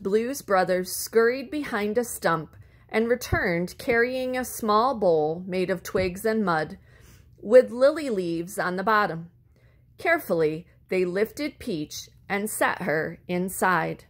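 A woman reading a children's story aloud expressively, with deliberate phrasing and words drawn out for effect. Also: medium pitch, expressive. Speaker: storybook reader.

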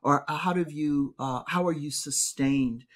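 A woman's voice: low-pitched, a little slow. Middle-aged woman, professor co-host, preacherly emphasis, home-office webcam sound. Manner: emphatic, preacherly, gestures while talking. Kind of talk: casual theological discussion. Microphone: video call webcam.

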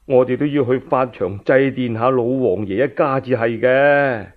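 A man's voice: mid-range voice, normal speed, pitched below the performer's own voice, close to an everyday voice. A man doing a sighing, resolute old man. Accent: Cantonese. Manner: sighing, emphatic. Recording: narrow-band, phone-like radio recording.

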